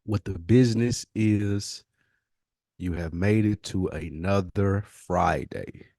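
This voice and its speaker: A man's voice: low voice, takes it slowly, mellow, dry recording, clean studio sound. A man co-hosting a podcast in casual conversation, with a laid-back, slangy way of talking. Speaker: podcast co-host.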